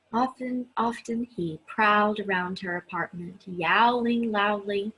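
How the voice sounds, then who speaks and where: A woman reading a children's story aloud in an expressive, chatty style, stressing key words with dramatic emphasis. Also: mid-range voice, vocal fry, slight background noise, rough recording. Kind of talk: expressive read-aloud with chatty asides to listeners.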